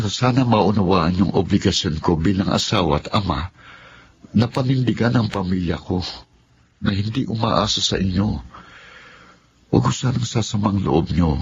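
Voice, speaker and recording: A man playing an earnest, apologetic husband and father in a radio drama, speaking in a measured way. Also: deep voice, a little hiss or hum. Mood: earnest, pleading. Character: remorseful husband and father, formerly mother-dependent, earnest. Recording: dull lo-fi audio under loud music. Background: loud dramatic music.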